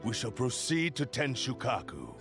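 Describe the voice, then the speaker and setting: A man's voice: medium pitch, big pitch swings, moderate pace, lightly acted. A man voicing the proud, embittered spirit of a cursed sword in a video game, speaking in haughty, menacing formal phrases. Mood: coldly resolved. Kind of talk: dramatic video game voice acting. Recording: music behind the voice. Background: game background music.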